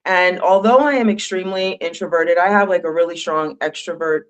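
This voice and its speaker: Loud, candid woman panelist. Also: lowish voice, brisk, clear, resonant tone, low-quality recording. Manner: loud, bold speaking voice; tearful and candid. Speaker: panelist, animal advocate. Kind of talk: emotional panel talk. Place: on the floor at an animal rescue. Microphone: phone clipped to a dog crate.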